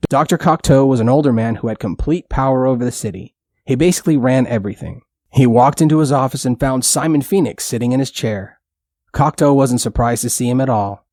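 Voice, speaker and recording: A man's voice: mid-range voice, darkish tone, no room sound. A man with a mid-range voice reads a children's bedtime story. His telling is plain and simple, close on the mic with a dry sound. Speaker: storyteller, narrator.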